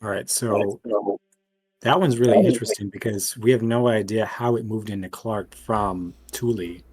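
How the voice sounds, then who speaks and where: A man with a husky voice casually discussing clues in a tabletop role-playing game. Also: on the low side, steady tone, moderate pace, a little mellow.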